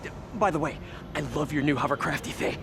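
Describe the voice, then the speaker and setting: A man's voice: on the high side, a little fast, noisy background, lightly acted. An American man playing a wisecracking, chatty young superhero in a video game cutscene. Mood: joking. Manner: quippy, playful, chatty. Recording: loud background music.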